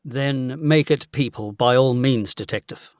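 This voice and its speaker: An actor playing a courteous, earnest gentleman investigator in a gothic audio drama, with a genteel old-fashioned manner. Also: medium pitch, unhurried, no room sound, clean studio sound, close to an everyday voice. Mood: earnest, puzzled.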